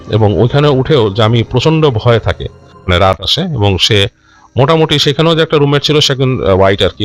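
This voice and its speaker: A man telling a horror story informally and unscripted, in a halting, conversational way with repeated phrases. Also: on the low side. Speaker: storyteller of a ghost story show. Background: background music.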